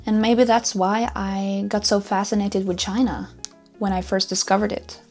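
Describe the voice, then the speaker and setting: A woman's voice: medium pitch, level tone, normal speed, music in the background. A woman in her twenties from Norway with a Norwegian accent, narrating her own story in an earnest, upbeat way. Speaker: vlogger. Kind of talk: scripted personal narration.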